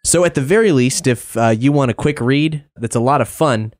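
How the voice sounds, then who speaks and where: A man's voice: medium pitch, moderate pace, no echo. Dry-sounding man hosting a podcast. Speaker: podcast host. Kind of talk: casual hosting and announcements.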